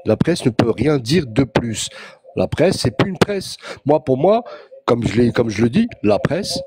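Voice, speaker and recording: Male caller, emphatic and repetitive, middle pitch. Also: big pitch swings, unhurried, husky. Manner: emphatic, repetitive, forceful declarations. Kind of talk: heated call-in opinion.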